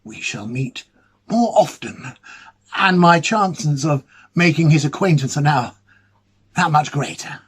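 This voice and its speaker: A man playing an ailing, lonely, fussy bachelor academic in a dramatic ghost-story monologue, musing hesitantly and theatrically in a husky voice. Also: a little high, expressive, measured pace, long pauses. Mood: excited wonder. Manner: hesitant, musing, theatrical, with murmured asides and swelling emphasis.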